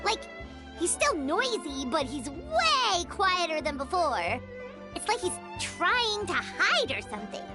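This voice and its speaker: Game fairy sidekick: childlike, extremely high, unhurried delivery, excitable and exclamatory. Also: female voice, over music, heavily acted. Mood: curious. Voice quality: squeaky, childlike. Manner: refers to herself in third person, exclamatory, dramatic. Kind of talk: animated video game voice acting.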